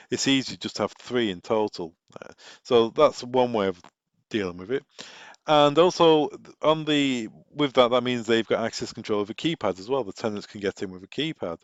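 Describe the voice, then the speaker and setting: A man presenting informal product training, explaining things step by step through practical examples. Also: medium pitch. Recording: echoey room, dull muffled sound.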